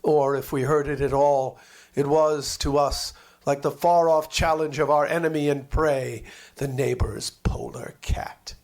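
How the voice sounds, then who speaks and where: Male narrator, slightly high voice, expressive and measured literary reading, savouring the words.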